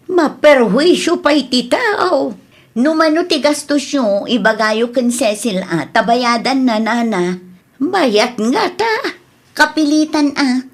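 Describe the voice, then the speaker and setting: A woman's voice: sits high, exaggerated voice. A woman acting an indignant, complaining neighbour in an Ilocano comedy radio drama, with an Ilocano accent and lots of exclaiming.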